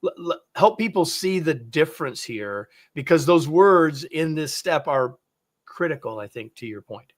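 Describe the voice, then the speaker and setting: A man's voice: a little high, expressive, unhurried. Man, interview host, probing, thinks aloud. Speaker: podcast host. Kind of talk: interview questions.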